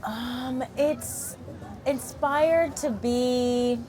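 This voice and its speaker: A woman's voice: sits high, steady tone, extremely slow, music in the background. A woman with a Jamaican accent, a food vendor explaining her menu in a street interview. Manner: friendly, explanatory. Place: outdoor holiday market.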